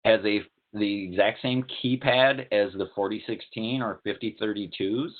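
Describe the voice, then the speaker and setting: A man's voice: on the low side, a little slow. A man giving a technical product presentation in a plain, methodical, explanatory way, as a webinar presenter. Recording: tinny narrowband call-like audio.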